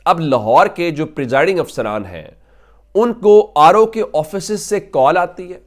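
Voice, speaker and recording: A man's voice: on the high side, animated, a little slow. A man with a Pakistani accent giving political news commentary to viewers as a commentator, emphatic and fond of rhetorical questions.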